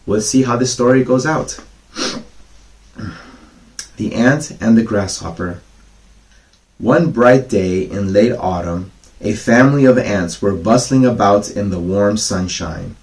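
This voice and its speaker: A man reading children's bedtime fables as a storyteller, with casual, gently instructive asides to the kids. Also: on the low side, low-quality recording. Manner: gently didactic, explains each moral.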